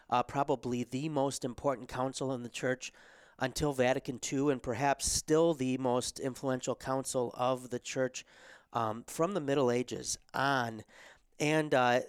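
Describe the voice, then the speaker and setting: A man hosting a podcast and giving a solo theology lecture, a bit hoarse from losing his voice, hesitant with ums and restarts and frequent throat clearing. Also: medium pitch, no room sound, clean studio sound. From America. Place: studio.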